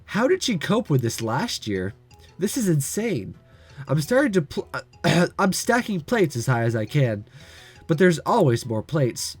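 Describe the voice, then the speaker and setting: A man reading a visual novel aloud, lively, clearing his throat now and then. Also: a little high, unhurried, soft background music. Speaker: let's-play reader.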